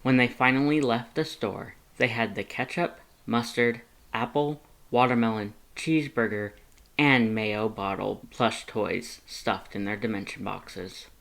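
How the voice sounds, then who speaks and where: Audiobook narrator with an even mid-range voice. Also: medium pitch, a little slow, creaky. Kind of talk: scripted fan fiction narration.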